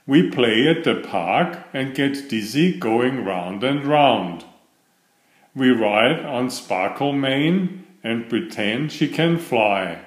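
A man reads a picture book aloud with an expressive, animated delivery. His pace is slow and deliberate, and the room around his voice is noticeably echoey. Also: medium pitch. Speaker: children's book reader.

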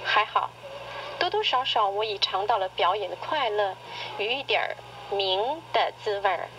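A middle-aged woman reading a personal essay in radio drama style, with measured, literary, expressive delivery. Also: mid-range voice, muffled recording. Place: played back from a cassette recorder speaker. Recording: noisy cassette tape played through a recorder.

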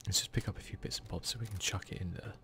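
A man's voice: deep voice, fairly even intonation, very fast, pushed and bright. A man with a British accent giving casual, whispered ASMR commentary as he plays a video game. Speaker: gaming video host.

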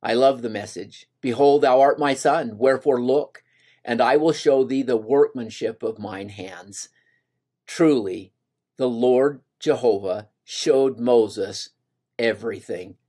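A man's voice: mid-range voice, takes it slowly, dark tone. An older man leads a scripture lesson at his desk, speaking earnestly into a webcam. He leans into quoted lines with rising emphasis, his voice plain and close. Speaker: gospel study teacher. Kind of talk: scripture lesson over slides.

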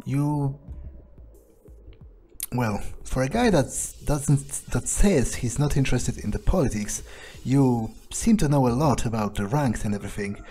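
A man roleplaying a curious, impatient vampire newcomer in a video game, improvising in a halting, pondering way. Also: mid-range voice, lively intonation, warm tone, breathy edge. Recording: faint music behind the voice.

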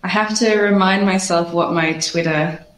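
A woman's voice: fairly low pitch, fairly even intonation, moderate pace, a little hiss or hum. A woman chatting casually as a researcher guest. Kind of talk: casual chat. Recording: echoey room.

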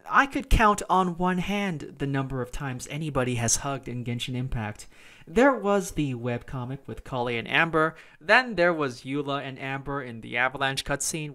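A man's voice: mid-range voice, animated, normal speed, a bit bright. A man hosting a video game review, talking in a casual, opinionated way with conversational, emphatic phrasing.

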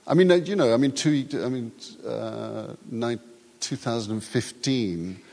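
A man's voice: medium pitch, creaky, darkish tone, in a large hall. An older male actor whose first language is Urdu, talking reflectively in a live stage interview and often restarting his sentences. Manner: reflective, halting with many restarts. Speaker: actor guest.

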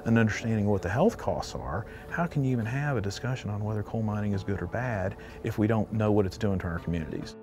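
A man's voice: fairly low pitch, quick pace, few pauses, music in the background. A man in his fifties, an environmental health researcher explaining in a documentary interview.

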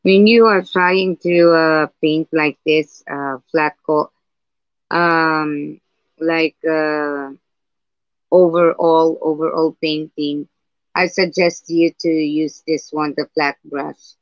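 Slow husky-voiced woman teaching painting. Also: sits low, steady tone, no room sound. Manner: slow, hesitant, many fillers and restarts. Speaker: art livestream host. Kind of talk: live painting tutorial. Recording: dull lo-fi livestream audio.

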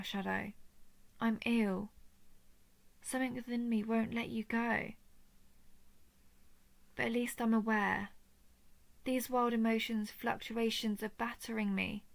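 A woman playing a lovestruck female dragon, possessive yet tender and remorseful, speaking softly and hesitantly with breathy whispers in an intimate roleplay audio. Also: a little high, no echo. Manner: soft, hesitant, often dropping into breathy whispers.

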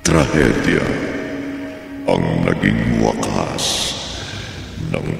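Horror radio narrator, Filipino male, ominous and theatrical, extremely slow, over prominent music in a long reverb. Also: a bass voice, animated, gravelly. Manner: ominous, drawn-out delivery. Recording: heavy echo and long reverb.

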